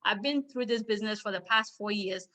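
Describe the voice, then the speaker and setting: A woman's voice: mid-range voice, fairly quick, sharp tone. A woman from Ghana, a business owner, speaking firmly and emphatically as a guest on a video call. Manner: firm, emphatic. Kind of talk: casual video call discussion.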